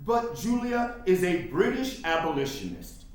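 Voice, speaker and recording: In a very echoey room, an actor plays a principled, exasperated 19th-century abolitionist man in a stage drama. His voice runs high for a man and swings widely, with slow, formal, deliberate phrasing. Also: very animated. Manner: emphatic, deliberate, formal diction with dramatic pauses. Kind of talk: staged play reading, theatrical dialogue.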